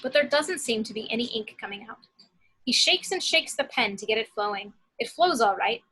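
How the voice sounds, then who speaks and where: A woman's voice: a little high, lively intonation, fairly quick, sharp tone, breathy edge, poor audio. A woman reading scripted action descriptions as narrator in an amateur screenplay table read.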